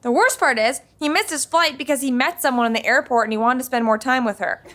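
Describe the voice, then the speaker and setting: An actress playing an excitable, anxious young woman in a sitcom. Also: sits high, background noise, lightly acted. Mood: animated. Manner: animated, quick to shout.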